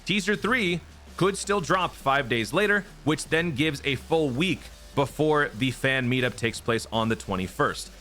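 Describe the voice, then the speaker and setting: Bright-voiced man explaining game theories. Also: on the high side, lively intonation, measured pace, soft background music. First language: not Japanese. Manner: chatty, explanatory, frequent "right?" tags. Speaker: YouTube gaming channel host. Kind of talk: casual commentary and speculation.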